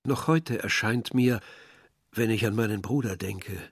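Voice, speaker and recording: An actor playing a reflective village man, narrating a literary radio play in a measured, bitter tone. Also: fairly low pitch, breathy edge, dry recording. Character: reflective Algerian village man recalling war and dead brother. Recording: dry studio, faint music behind.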